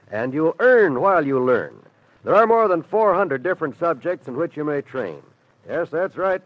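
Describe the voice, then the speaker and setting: A man's voice: fairly high pitch, dry recording. A man reading a mid-century radio advertisement as an announcer, with an earnest, emphatic sales delivery. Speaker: commercial announcer. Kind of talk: 1950s radio advertisement read. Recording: dull old dry radio recording.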